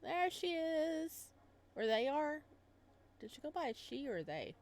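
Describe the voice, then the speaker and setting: A woman's voice: high voice, very animated, unhurried, darkish tone. Chatty woman reading a visual novel. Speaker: gaming video host. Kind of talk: visual novel read-aloud with casual commentary.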